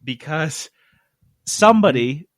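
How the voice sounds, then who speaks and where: A man's voice: fairly high pitch, slow pace, no room sound. Dry-sounding man, emphatic podcast talker. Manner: animated, emphatic, sweary, sarcastic. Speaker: gaming podcast host. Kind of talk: casual comic explaining of game industry news.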